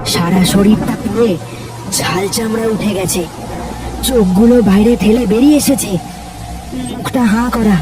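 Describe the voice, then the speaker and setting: A man's voice: high voice, unhurried, pauses often, distant mic, very noisy. A man narrates a scripted ghost story in a dramatic storytelling style, with music behind him.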